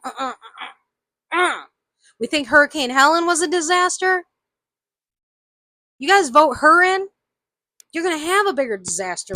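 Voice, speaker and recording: An American woman with a slight Southern lilt, venting her anger straight into the camera. Her voice is bright and edgy, a little higher than most, and close and dry with no room around it. She hammers each point home and says it twice. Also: no echo. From United States. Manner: indignant, emphatic, repeats herself. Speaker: vlogger. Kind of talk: angry opinion rant to camera.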